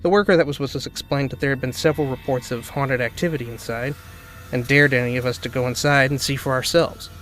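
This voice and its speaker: A man's voice: mid-range voice, fairly even intonation, fast, fairly bright tone. A man giving a dramatic narrated reading of a spooky first-person story. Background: background music.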